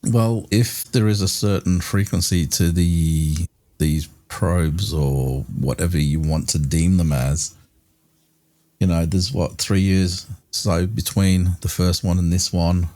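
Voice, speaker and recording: A man with a low, mellow voice hosts a podcast. He chats casually and muses aloud in a friendly, easygoing tone. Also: fairly even intonation, slow pace. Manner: chatty, musing, friendly. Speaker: podcast host.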